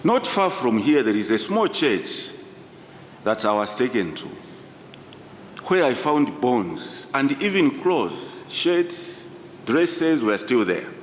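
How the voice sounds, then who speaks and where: Male speaker, formal conference storytelling, emphatic and very animated in pitch, recorded in a reverberant hall. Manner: deliberate, emphatic, short phrases with pauses. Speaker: professor giving a conference address. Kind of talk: formal public speech, storytelling. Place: large indoor conference hall. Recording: echoey hall, distant reverberant sound, low rumble.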